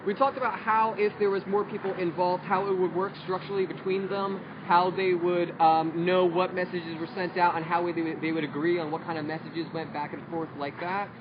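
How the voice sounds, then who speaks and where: A man reporting back for his discussion group at an outdoor protest assembly, halting and full of fillers. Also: high-pitched, little pitch movement. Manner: halting, with many fillers and restarts. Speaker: group spokesperson at a meeting. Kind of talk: casual report at a public assembly. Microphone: phone recording from the crowd. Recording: muffled phone audio with street noise.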